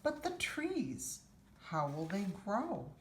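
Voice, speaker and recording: A woman voicing a patient mother in a children's storybook, putting gently probing questions. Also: medium pitch, wildly swooping pitch, takes it slowly, pitched above the performer's own voice. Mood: gently doubting. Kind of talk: children's storybook read-aloud. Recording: roomy echo, faint background noise.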